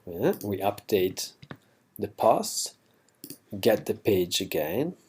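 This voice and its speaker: Slow, halting man explaining software. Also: on the low side, big pitch swings, breathy edge. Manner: halting, self-correcting, slightly non-native phrasing. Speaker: plugin developer demonstrating software. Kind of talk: unscripted screencast tutorial.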